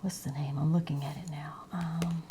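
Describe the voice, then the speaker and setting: A woman ASMR creator rambling in a breathy whisper mixed with soft, hushed speech, meandering as she goes. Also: deep voice, steady tone, moderate pace, noisy background.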